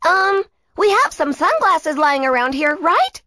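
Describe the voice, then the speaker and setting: A brave, clever Tiki girl from a children's story , acted by a woman. She sounds earnest and firm, pitched well up with lively movement. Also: measured pace, dry recording, heavily acted. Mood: thoughtful.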